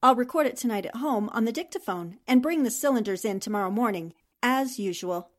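Actress as plucky woman columnist for a vintage radio thriller, high voice, very animated intonation, earnest and theatrical. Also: a little fast, noisy background, close to an everyday voice. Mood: breezy. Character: spirited, indignant female newspaper columnist heroine. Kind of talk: 1940s radio drama acting.

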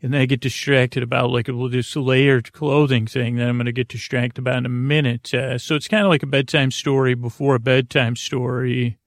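A man rambles through a bedtime podcast intro in soft, gravelly tones. He drifts from point to point and pokes fun at himself. The sound is dry and close. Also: mid-range voice, level tone, normal speed, few pauses, dry recording. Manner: rambling, easily sidetracked, self-mocking. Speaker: podcast host.

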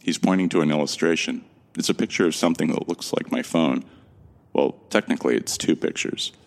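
An actor playing a bewildered, introspective amnesiac from Earth, telling his story in the first person in a measured, gravelly voice for an audio drama. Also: low-pitched, animated. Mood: introspective, tense.

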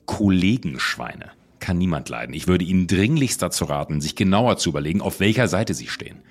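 An actor playing a patronising police superior in an audio drama, formal and quietly threatening, with a deep, husky voice. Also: male voice, animated, brisk, exaggerated voice. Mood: patronising, warning. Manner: formal, dismissive, admonishing.

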